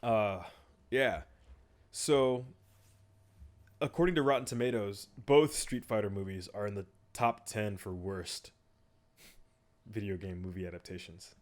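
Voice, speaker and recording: A man's voice: on the low side, fairly even intonation, a little slow, pauses often. Man joking in casual podcast banter. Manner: joking, rambling banter, often trails off. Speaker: podcast co-host.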